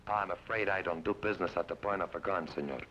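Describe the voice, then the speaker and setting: An actor playing a wary barber, speaking in a cool, measured way in a 1940s radio drama. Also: male voice, deep voice, pushed and bright. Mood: defiant. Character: wary Mexican barber, adult man, shrewd and unafraid. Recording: dull old radio recording with hiss.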